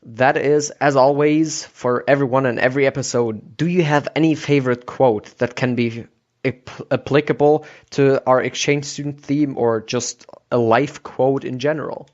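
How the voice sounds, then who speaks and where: A man's voice: medium pitch, steady tone, normal speed. Man hosting a podcast, dull lo-fi audio. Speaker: podcast host interviewing a guest. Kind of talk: casual conversational interview. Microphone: voice call recording. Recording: dull lo-fi internet call audio.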